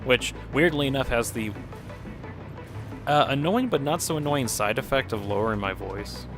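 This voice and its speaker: A man's voice: mid-range voice, expressive, normal speed. A man streaming a game, chatting casually and rambling as he plays, a bit nasal from a cold. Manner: rambling, reacts to chat, chuckles often. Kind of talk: casual commentary while playing. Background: game music playing.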